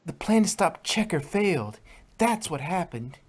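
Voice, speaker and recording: A woman's voice: on the low side, very animated, unhurried, a little mellow, breathy edge, heavily acted. A woman voicing a stern young unicorn mare, cold and accusing, in a fan reading.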